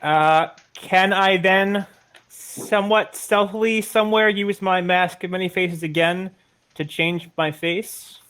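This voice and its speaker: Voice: medium pitch, fairly even intonation, slow, fairly bright tone. A player at an online tabletop game, casually describing their character's moves and asking the game master questions.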